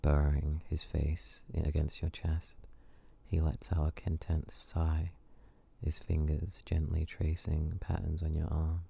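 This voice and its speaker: A man softly narrating an intimate story as an ASMR narrator. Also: a bass voice, monotone, a little slow, creaky, slightly rough.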